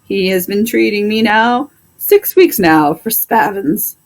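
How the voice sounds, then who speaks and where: A woman voicing a plain-spoken, indignant male plow horse with drawn-out, emphatic complaints in a storybook. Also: medium pitch, animated.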